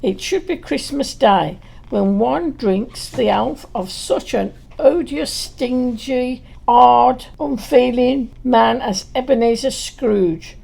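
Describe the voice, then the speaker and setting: A woman's voice: fairly high pitch, slow, vocal fry, darkish tone, exaggerated voice. A woman playing a tart but fond wife and mother in an audio play, blunt and motherly. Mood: indignant, grudging.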